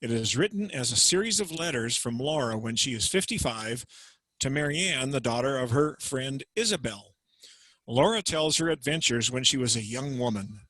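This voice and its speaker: Matter-of-fact male presenter, bright tone, dry close sound. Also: mid-range voice, animated, moderate pace, dry recording, poor audio. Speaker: program host introducing acts. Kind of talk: plain explanatory summary. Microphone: video call.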